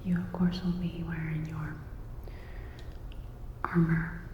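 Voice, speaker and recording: A woman acts out a calm sci-fi repair technician in a whispered ASMR roleplay. The delivery is very even and unhurried, with soft clinical reassurance. It sits in a long, roomy reverb. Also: sits low. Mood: attentive, gentle. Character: calm, attentive female cyberpunk robot-repair technician. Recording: roomy reverberant sound, dull, faint noise.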